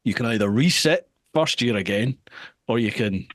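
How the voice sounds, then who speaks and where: A man's voice: medium pitch, lively intonation, moderate pace, dry recording. Man, 40s, Scottish accent, podcast host, casual self-deprecating chat, dry close mic. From Scotland.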